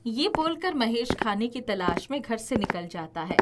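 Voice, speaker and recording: A woman's voice: a little high, big pitch swings, unhurried, very noisy. A woman narrating a scripted children's story as the narrator.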